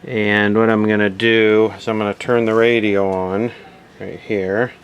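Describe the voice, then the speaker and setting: Low-voiced man narrating hands-on repair work. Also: little pitch movement, a little slow, clear, resonant tone, highs cut off. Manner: matter-of-fact, thinking aloud while working. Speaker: electronics repair video host.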